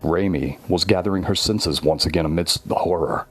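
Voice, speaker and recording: A man tells a horror tale in a very low voice. His delivery is measured and dramatic, with each line read as scripted prose. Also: slightly rough, soft background music. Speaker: storyteller. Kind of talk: scripted horror story narration.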